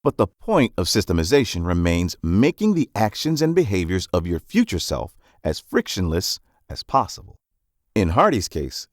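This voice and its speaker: A man reading scripted nonfiction narration in a measured, instructive manner, as for an audiobook summary. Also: lowish voice, no room sound, studio quality.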